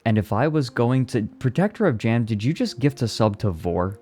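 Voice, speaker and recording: A man's voice: mid-range voice, sing-song, normal speed, warm tone. A young man chatting casually on a live stream, reading and answering his chat and laughing often. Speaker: VTuber streamer.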